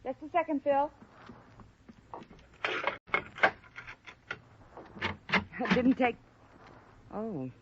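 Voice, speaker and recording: A woman's voice: sits high, very animated, unhurried. An actress playing a guarded, indignant woman accused of theft in an old radio drama. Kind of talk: 1940s-50s American radio drama acting. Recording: dull, faintly noisy vintage radio recording.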